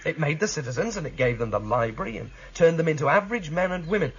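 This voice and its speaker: A restless, questioning young man in a radio drama. His voice sits fairly high and swings freely in pitch, on a slightly dull recording with some faint noise. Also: animated, fast talker, a bit bright, breathy edge. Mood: intense. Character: earnest, questioning young male rebel against a controlling state.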